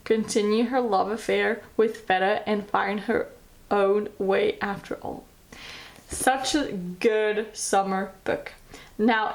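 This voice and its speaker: A woman with a French accent, a native French speaker, casually recommending books and reading back-cover blurbs aloud in an enthusiastic, chatty way. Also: medium pitch. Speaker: book vlogger.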